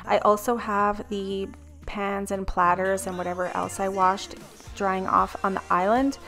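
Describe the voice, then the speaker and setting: A woman in her thirties narrating her home cleaning video in a casual, rambling voice-over. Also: mid-range voice. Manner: chatty, rambling, relaxed asides. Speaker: cleaning vlogger.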